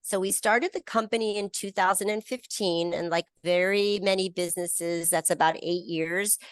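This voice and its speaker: A woman's voice: lowish voice, steady tone, normal speed, smooth, dry recording. An American woman, founder of a brand, chatting as a guest on a video-call interview in a casual, storytelling way. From United States. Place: her retail store.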